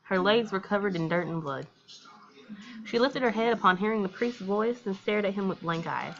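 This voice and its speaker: A woman's voice: mid-range voice, moderate pace, gravelly, a bit bright, highs cut off, soft background music. A woman doing casual let's play commentary, reading visual novel narration aloud with slangy, candid asides. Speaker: gaming video host.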